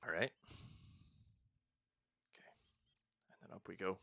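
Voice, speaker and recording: A man's voice: sits low, measured pace, creaky, loud music behind the voice. A man casually talking through a roleplay video game as the host, thinking aloud and often restarting his sentences. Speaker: let's play host. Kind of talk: casual gameplay commentary.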